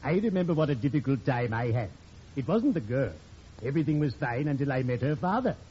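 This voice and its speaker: An actor playing a genial, morbid undertaker in old-time radio comedy, with formal, solemn, deadpan delivery. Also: male voice, mid-range voice, fairly quick, lightly acted. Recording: very noisy, muffled old radio recording.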